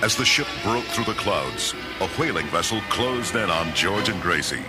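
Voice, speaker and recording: A man reads a scripted children's adventure story as narrator. His voice is fairly low, and very loud music plays behind it throughout. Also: very animated, moderate pace. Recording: narration over very loud music, rumbling effects.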